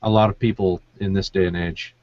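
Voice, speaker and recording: A man's voice: low voice, fairly even intonation, brisk, muffled recording. A man hosting a podcast in a casual, chatty way with a dry, teasing sense of humour. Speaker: podcast host. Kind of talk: casual chat.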